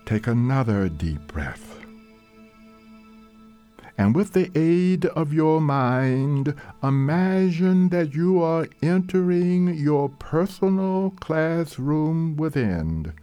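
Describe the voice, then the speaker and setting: Male meditation leader, extremely unhurried, with rising and falling intonation, gentle and deliberate over background music. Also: on the high side, very animated, smooth. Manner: gentle, deliberate, measured phrasing with long pauses. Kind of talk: slow guided meditation narration. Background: soft meditation music.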